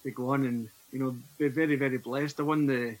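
A Scottish man in his forties speaks as a podcast guest, earnest and reflective as he tells his own story. His pitch sits in an ordinary middle range for a man. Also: fairly even intonation, fairly quick, rough recording. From Scotland. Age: 40s. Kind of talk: personal testimony in conversation.

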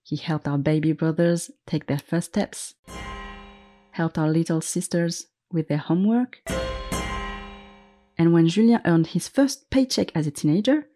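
A woman narrating a story in a gentle, measured, scripted way. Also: lowish voice. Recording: faint music underneath.

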